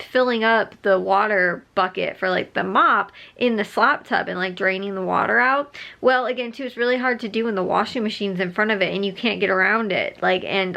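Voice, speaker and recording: A woman's voice: medium pitch, gravelly, a bit bright. A young woman vlogger chatting casually, rambling with lots of fillers and restarts, a bit stuffed up. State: stuffed up nose. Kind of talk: casual vlog chat.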